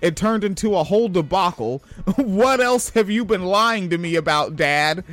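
High-voiced profane man riffing on news. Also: animated, takes it slowly, fairly bright tone. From Washington State. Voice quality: high-pitched voice. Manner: profane, rambling, laughs often. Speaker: podcast host. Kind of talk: casual commentary reading news.

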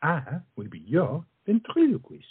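An actor playing a witty French friend and mentor in a stage comedy, speaking in a flowery, coaxing, theatrical way. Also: male voice, on the high side, huge pitch swings, measured pace, husky, no echo, phone-quality sound, heavily acted. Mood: scheming, confident.

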